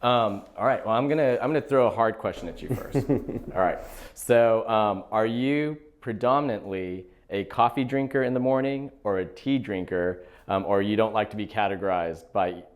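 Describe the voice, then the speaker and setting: A man's voice: on the low side, normal speed. A man hosting an interview show, asking polite questions in a hesitant way with repeated words and filler sounds. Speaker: host.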